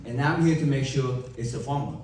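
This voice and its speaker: A young man with a Vietnamese accent giving an emphatic motivational keynote to a live audience, with dramatic pauses and rhetorical questions. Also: mid-range voice, darkish tone, a little hiss or hum. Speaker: motivational speaker. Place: large event hall. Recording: echoey hall from far off.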